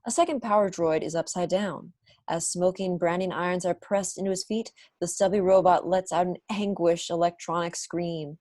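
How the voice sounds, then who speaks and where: A young woman narrates a screenplay from home on a video call. Her voice sits fairly low, and the sound is dry. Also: steady tone, normal speed, a little mellow, no room sound. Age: young adult. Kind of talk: scripted narration, reading stage directions.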